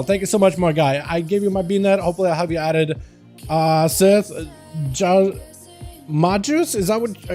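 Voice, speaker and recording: A man's voice: high voice, mellow. A young man from Russia streaming a game and chatting loosely through a headset, full of fillers and restarts. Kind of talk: casual livestream chat.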